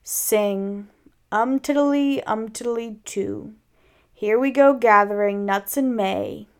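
A woman's voice: mid-range voice, slow pace, clean tone. A woman voicing a gloomy, self-pitying old male donkey from a storybook, with a mournful, sighing, sarcastic delivery. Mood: gloomy sarcasm.

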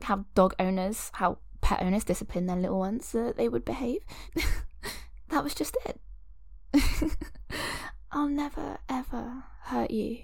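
A woman voicing an obsessive young woman who holds her lover captive, sweet on the surface and cruel beneath, talking in breathy whispers and giggles. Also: fairly high pitch, darkish tone, no echo, clean studio sound, full character voice. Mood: cooing. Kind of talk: audio roleplay drama.

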